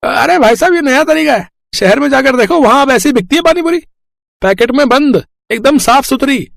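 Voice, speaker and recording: A man voicing a boastful, scheming male panipuri seller in an animated village story, pushy and loud. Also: very high voice, brisk, creaky, no echo, clean studio sound, heavily acted. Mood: smooth-talking. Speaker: cartoon voice actor.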